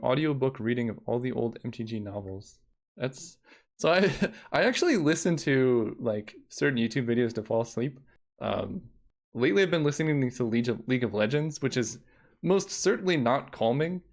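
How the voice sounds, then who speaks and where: Young man, streamer, relaxing voice, thinks aloud, mutters counts, casual game chat. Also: medium pitch. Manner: thinks aloud, counts under his breath.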